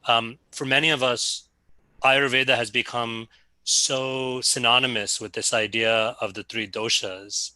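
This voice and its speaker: Bright-voiced polite man moderating. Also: medium pitch, fairly even intonation, measured pace, dry recording. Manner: hesitant, repeats words, polite. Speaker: webinar host and moderator. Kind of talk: moderating questions on a video call. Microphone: video call.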